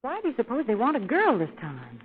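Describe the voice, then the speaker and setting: A woman's voice: a little high, huge pitch swings, fast talker. A woman with an American accent acting a plucky, wry young lady sidekick in 1930s radio drama style. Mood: game, teasing. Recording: muffled old 1930s radio recording.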